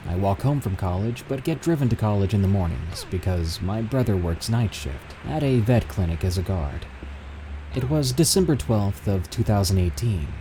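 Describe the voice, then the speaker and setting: A man reading submitted encounter stories aloud in steady, measured scripted narration. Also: lowish voice, a little hiss or hum, rough recording.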